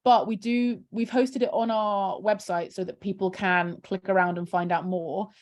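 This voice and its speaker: A woman's voice: mid-range voice, fairly even intonation, normal speed. A woman, a charity campaigner talking casually on a video call, filling her speech with "um" and "kind of". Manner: chatty, many fillers like "um" and "kind of". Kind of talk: casual video call interview, screen-share walkthrough.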